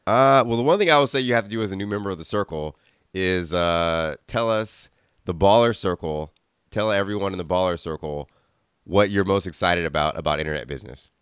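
Very deep, smooth-voiced male podcast host. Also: a little fast, fairly bright tone, dry recording, clean studio sound. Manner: enthusiastic, filler-heavy, keeps asking "right?". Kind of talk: podcast interview hosting.

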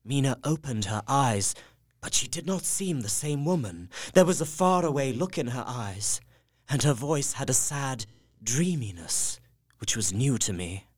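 A man's voice: mid-range voice, expressive, measured pace. An actor playing a devoted, anxious young husband narrating his journal in an audio drama, earnest and heartfelt.

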